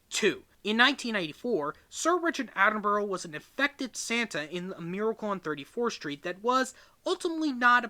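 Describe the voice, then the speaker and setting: A man reading a book aloud as a narrator, with emphatic, expressive delivery. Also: high-pitched. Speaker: reader, narrator.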